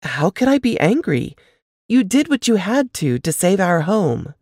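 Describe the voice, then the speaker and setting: A woman's voice: mid-range voice, sing-song, unhurried, a little mellow, no echo, clean studio sound, voice pushed up. Woman reading as an earnest, frail young woman. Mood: grateful.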